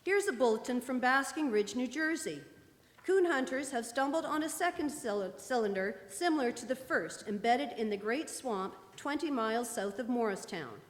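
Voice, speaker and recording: A woman's voice: fairly high pitch, animated, moderate pace, lightly acted. A woman playing a formal radio news announcer in a live radio drama, reading bulletins in a very echoey hall. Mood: grave, formal.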